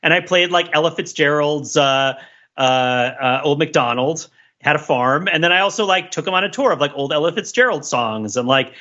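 A man's voice: fairly high pitch, lively intonation, moderate pace, pushed and bright. A middle-aged man co-hosting a podcast, chatting in a rambling, wry way on slightly lo-fi audio. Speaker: podcast co-host. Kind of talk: casual comic-analytical chat.

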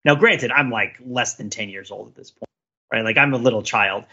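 A man with a bright, forceful voice talks through ideas as a podcast co-host. He is casual but analytical, wandering into asides and hedges as he goes. The audio is slightly dull and band-limited. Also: mid-range voice, brisk, slightly rough. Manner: discursive, thinking aloud, hedging with many asides. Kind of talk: casual panel discussion, analytical.

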